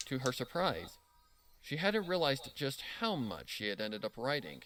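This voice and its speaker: A man's voice: mid-range voice, sing-song, unhurried, fairly bright tone. A man narrating a scripted story, reading expressively and dramatically.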